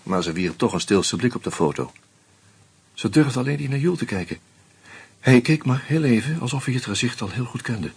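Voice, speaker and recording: An actor narrating in first person as a weary man on pills, in a husky voice with tense, terse sentences. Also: mid-range voice, lively intonation, fairly quick, heavily acted. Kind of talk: radio play narration.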